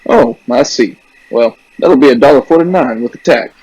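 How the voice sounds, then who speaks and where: An actor playing a plain-spoken, folksy gas station attendant in a suspense radio drama. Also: male voice, medium pitch, expressive, moderate pace, darkish tone, breathy edge, rough recording. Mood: folksy, matter-of-fact. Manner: folksy, matter-of-fact.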